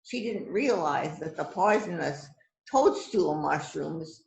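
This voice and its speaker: Self-assured, musing detective for amateur stage mystery, played by a woman; unhurried, animated intonation, roomy sound. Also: low-pitched, vocal fry, exaggerated voice. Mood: confident. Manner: deliberate, musing with frequent hmms. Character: shrewd, self-assured police detective, the boss, questioning suspects. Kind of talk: amateur readers theater murder mystery. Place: indoors on a virtual event call. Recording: roomy echoing video call sound.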